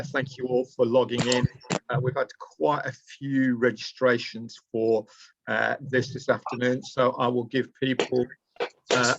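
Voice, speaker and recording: A man's voice: medium pitch, noisy background. A middle-aged man with a Lancashire accent presents a live online training session, speaking hesitantly with frequent ums and uhs. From Preston, Lancashire, England. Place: indoors, small room. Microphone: webcam on a video call. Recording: dull lo-fi video call audio.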